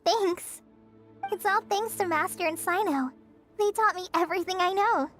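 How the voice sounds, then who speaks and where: Very high-voiced shy girl. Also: normal speed, a bit bright, soft background music. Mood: grateful. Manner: hesitant, modest, apologetic. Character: shy, sweet teenage girl trainee ranger. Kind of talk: video game voice acting.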